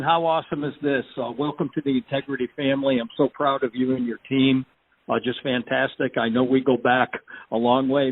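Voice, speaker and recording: Guy gushing congrats on a call, mid-pitched, thin phone audio. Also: narrow, tinny audio, a little hiss or hum. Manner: enthusiastic, effusive praise. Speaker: guest. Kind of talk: congratulatory remarks.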